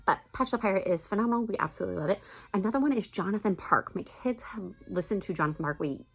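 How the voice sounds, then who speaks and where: A woman's voice: medium pitch, animated, fast, breathy edge. A middle-aged American woman chatting casually and emphatically as a video blogger giving recommendations. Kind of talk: casual chat to camera, recommendations.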